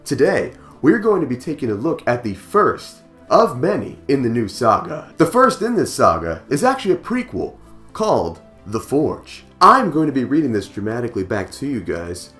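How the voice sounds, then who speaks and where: An excitable, emphatic man introducing his channel as host in an enthusiastic scripted style. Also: medium pitch, moderate pace, faint music behind.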